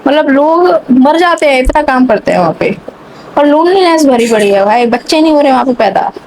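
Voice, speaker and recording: A woman's voice: high voice, expressive, brisk, background noise. A young woman vlogger ranting casually to camera in a sarcastic, chatty way, with a mixed English accent she picked up online.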